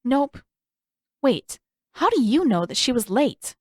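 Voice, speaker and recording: A woman's voice: sits high, sing-song, slow pace, darkish tone, dry recording, studio quality, pitched above the performer's own voice, exaggerated voice. A woman voicing a mild, hesitant, skeptical young boy in an audiobook, with a light, tentative delivery. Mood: doubtful. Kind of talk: acted audiobook dialogue.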